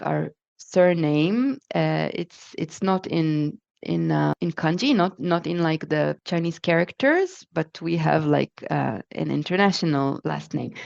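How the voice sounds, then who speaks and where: A woman's voice: deep voice, creaky. A woman, chatting as a podcast guest over a remote call, with plenty of fillers and restarts as she talks. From Israel. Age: 40s. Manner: chatty, with frequent fillers and restarts, lively when retelling exchanges. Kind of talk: casual conversational storytelling. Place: home in the Netherlands. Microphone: remote call recording. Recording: muffled remote-call audio.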